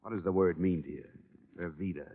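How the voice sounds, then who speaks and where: A man playing a tough, weary investigator in a 1950 radio drama, clipped and deadpan, on an old recording. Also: deep voice, a little fast, narrow, tinny audio, slight background noise, close to an everyday voice. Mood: sober, pressing. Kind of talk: 1950s radio detective acting.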